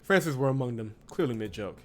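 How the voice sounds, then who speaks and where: A man's voice: mid-range voice, expressive, quick pace. A man hosting a visual novel playthrough, reading the narration aloud and reacting casually. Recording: loud game music behind voice. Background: game music.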